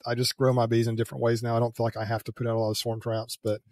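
Host role, older guy, fast and flat-toned delivery, dry close headset sound. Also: medium pitch, dry recording. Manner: rambling, self-correcting, chuckles at himself. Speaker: beekeeping channel host. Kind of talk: casual interview chat. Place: home room with a headset mic. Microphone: headset with a boom mic, video call.

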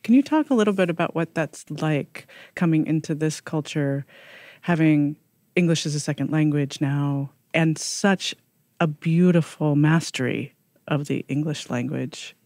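A podcast host introducing a guest and asking gentle questions, answering with soft affirming murmurs. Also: mid-range voice, unhurried, no echo. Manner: gentle and affirming, many short murmured replies. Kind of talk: podcast interview.

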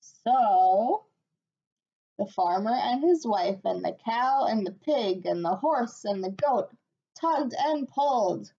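A woman in her thirties, a teacher, reading a picture book aloud on a webcam at home in a slow, expressive voice. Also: fairly high pitch. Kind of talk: storybook read-aloud on webcam. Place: small room at home. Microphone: webcam at desk. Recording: dull lo-fi webcam audio.

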